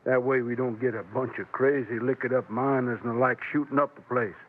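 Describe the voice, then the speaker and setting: An actor playing a self-satisfied, controlling sheriff in a 1950s radio western, folksy and authoritative. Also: male voice, mid-range voice, steady tone, a little fast, slight background noise, close to an everyday voice. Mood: firm, folksy. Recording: tinny vintage radio recording.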